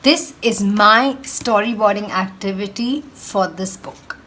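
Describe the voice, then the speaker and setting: A woman's voice: medium pitch, slow pace, pushed and bright. A woman with an Indian accent speaking as a schoolteacher to children, explaining instructively with emphatic rhetorical questions. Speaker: schoolteacher hosting a read-aloud for children. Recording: roomy echo, distant mic, faint noise.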